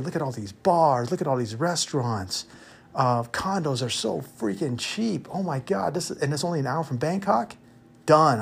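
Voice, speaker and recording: Blunt American man podcasting over background noise. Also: medium pitch. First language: English. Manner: blunt, opinionated, emphatic repetition. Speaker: expat podcast host. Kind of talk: informal podcast monologue with advice.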